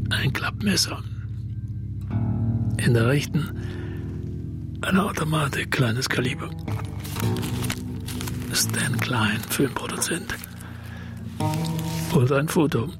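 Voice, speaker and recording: Radio noir investigator: mid-pitched, measured, fragmentary crime-scene notes under prominent guitar. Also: male voice. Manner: clipped, fragmentary phrases. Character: terse male investigator noting crime-scene findings. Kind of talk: German radio crime drama acting. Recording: loud guitar music behind voice. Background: guitar music.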